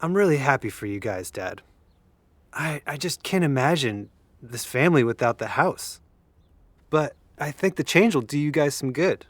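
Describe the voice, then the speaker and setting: A man's voice: mid-range voice, animated, moderate pace, dry recording, close to an everyday voice. A man playing a supportive, mild-mannered college student in his early twenties in an audio drama. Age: young adult.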